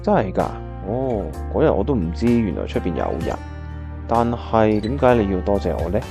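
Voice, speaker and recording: An actor playing an earnest, caring young pianist in a Cantonese radio drama, with a Hong Kong accent. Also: male voice, on the low side, animated, normal speed, over music. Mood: surprised, concerned. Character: earnest, caring young male pianist, narrating his memories. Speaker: voice actor narrating and playing the lead.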